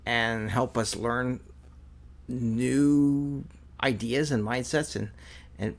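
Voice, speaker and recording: A man's voice: medium pitch, fairly bright tone. A man hosting an interview show, introducing his guest in a rambling way and correcting himself as he goes. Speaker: host.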